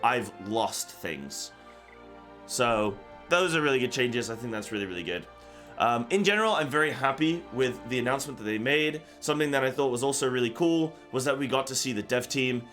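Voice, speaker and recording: Man, mid-pitched voice, casual gaming commentary, rambling, repetitive, background music. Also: lively intonation, brisk, a bit bright. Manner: rambling and repetitive, talking off the cuff. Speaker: gaming content creator and host. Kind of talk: casual reaction commentary on a game announcement.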